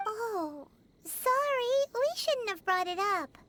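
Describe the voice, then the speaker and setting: A woman voicing a tiny, excitable childlike fairy companion in a video game, with a squeaky voice. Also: slow pace, a little hiss or hum, full character voice. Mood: apologetic. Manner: exclaiming, third-person self-reference.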